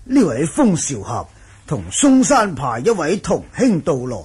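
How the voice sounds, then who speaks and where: A man's voice: on the high side, wildly swooping pitch, unhurried, darkish tone, breathy edge, full character voice. A man acting a polite martial-arts manor lord in a Cantonese radio drama, formal and deferential. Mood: explaining.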